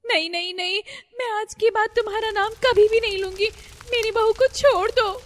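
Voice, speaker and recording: A woman's voice: fairly even intonation, moderate pace, long reverb tail. A woman voicing a fretful older mother-in-law who panics easily, in a cartoon story. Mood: panicked. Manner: shrill, panicky.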